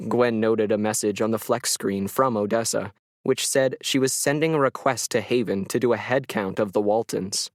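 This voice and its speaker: A man's voice: on the low side, normal speed, no echo, studio quality. A man reading a scripted science fiction story as its narrator.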